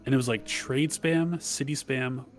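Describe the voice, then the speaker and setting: A man's voice: medium pitch, fairly even intonation, measured pace, faint music behind. A man chatting casually about games with others, emphatic and prone to repeating his phrases. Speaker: voice chat participant. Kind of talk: casual gaming chat.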